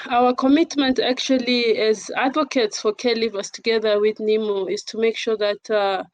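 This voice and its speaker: Woman advocate talking on a panel, measured n formal, bit hesitant, mid pitch, very dry sound. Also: dry recording, poor audio. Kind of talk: panel talk introducing herself and discussing.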